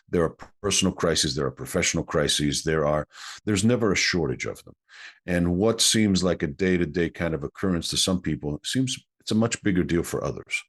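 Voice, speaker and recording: Super deep husky guy, greek native speaker, coach explaining things like a lecture. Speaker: guest, executive coach. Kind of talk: podcast interview answers.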